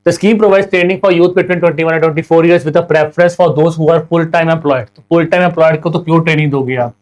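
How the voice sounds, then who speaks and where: A young Indian man with a slightly high voice and a sore throat runs an exam prep class. He reads each question slowly in English. Then he speeds up and explains the answer in a quick mix of Hindi and English. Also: poor audio. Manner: mixes Hindi and English, slow when reading questions aloud, fast when explaining answers. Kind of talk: online class solving quiz questions.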